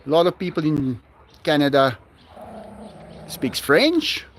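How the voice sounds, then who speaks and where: Male livestream host, South African accent, unhurried, high lively voice, laughs often and restarts sentences. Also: a little hiss or hum. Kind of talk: casual live-stream chat with viewers.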